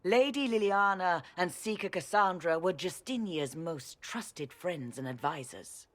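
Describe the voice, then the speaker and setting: A woman's voice: lowish voice, expressive, measured pace. Insistent, solemn reverend mother. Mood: solemn, pleading. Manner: formal, pleading, solemn. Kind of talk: video game dialogue acting.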